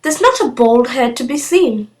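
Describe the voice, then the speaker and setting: Woman doing a cheeky little storybook girl, high voice, cheerful and matter of fact, bit odd. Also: expressive, moderate pace, a little mellow. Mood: boastful, cheerful. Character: cheeky, confident, eccentric young girl living alone.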